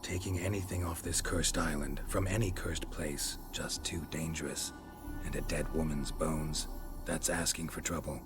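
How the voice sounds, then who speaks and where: Stoic monster hunter, game hero, rock-bottom pitch, gravelly, terse, suspicious, world-weary. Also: male voice, normal speed, over music. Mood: firm, reasoning. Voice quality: gravelly, low. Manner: terse, deadpan, clipped sentences. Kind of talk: dramatic video game acting.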